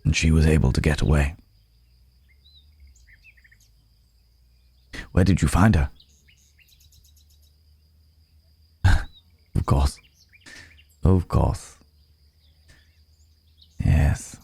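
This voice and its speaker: A man's voice: a bass voice, slow pace, gravelly, long pauses, mellow, slightly rough, no echo, full character voice. A man playing a courteous, guarded werewolf from the city in an intimate ASMR roleplay, speaking in hesitant, formal, polite phrases. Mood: wry, relieved.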